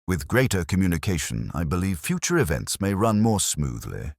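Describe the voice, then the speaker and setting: A man voicing a calm, kindly police inspector in a mystery story, speaking in a measured, formal, gently lecturing way. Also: sits low, vocal fry, dry recording, clean studio sound, full character voice. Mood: measured, reflective.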